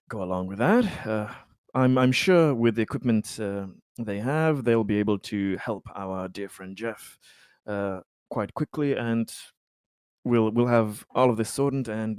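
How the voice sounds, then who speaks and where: Male tabletop player, lowish pitch, dry sound, measured and halting improvised speech with a formal edge. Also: darkish tone, clean tone, no room sound. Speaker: player in a tabletop roleplaying game. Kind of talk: improvised in-character talk.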